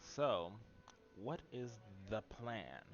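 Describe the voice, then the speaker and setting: A man's voice: lowish voice, sing-song, extremely slow, soft background music. A young adult man giving casual, playful live commentary as a game streamer, with slangy asides. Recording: dull lo-fi audio with game noise.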